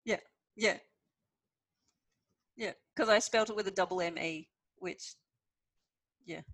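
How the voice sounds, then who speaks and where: A woman hosting a casual interview chat, talking in an animated, chatty way with many fillers. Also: mid-range voice, measured pace, no room sound, clean studio sound. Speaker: podcast host.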